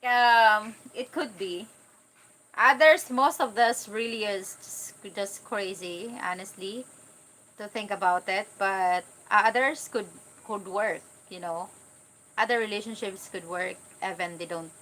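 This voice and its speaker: Filipina accent woman, 30s, casual candid livestream chat, mid pitched voice. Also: slow, a bit bright, poor audio. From Philippines. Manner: candid, informal, talking directly to viewers. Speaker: vlogger answering live chat comments.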